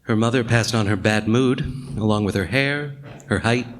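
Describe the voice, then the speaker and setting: Actor as a wry, low-pitched noir narrator. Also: male voice, normal speed, close to an everyday voice. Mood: wry, brooding. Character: wry noir male narrator, world-weary and sardonic. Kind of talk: scripted noir narration in a radio play.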